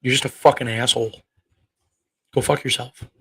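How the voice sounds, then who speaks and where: A man's voice: medium pitch, steady tone, moderate pace, pushed and bright, slightly rough. Thirties male livestream preacher, mid-range voice, combative and profane, fires rhetorical questions. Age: 30s. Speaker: online preacher. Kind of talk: livestream talk answering chat.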